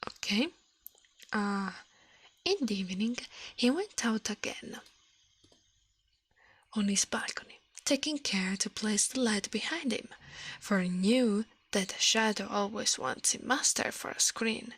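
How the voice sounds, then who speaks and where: A woman with an Italian accent speaking softly in an ASMR livestream, often whispering, close to the microphone. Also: mid-range voice, unhurried, long pauses, no room sound. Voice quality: soft, breathy, often whispered. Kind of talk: ASMR, soft-spoken and whispered storytelling and chat.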